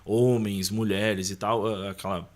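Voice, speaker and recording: Guy, mid pitch, chatting casually on a podcast telling stories from his life, lots of little tag questions. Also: level tone, a little slow. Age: adult. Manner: conversational, punctuates with tag questions and quotes remembered dialogue. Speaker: podcast guest. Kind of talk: casual personal storytelling.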